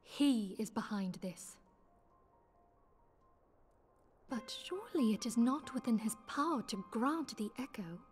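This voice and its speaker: Earnest lady commander played by an actress, fairly high voice, stately archaic phrasing, fantasy game drama with music behind. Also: expressive, normal speed, a little mellow, natural voice. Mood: shaken, intense. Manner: formal, stately archaic diction. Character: earnest, resolute noblewoman leader of a fantasy order. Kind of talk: dramatic video game voice acting.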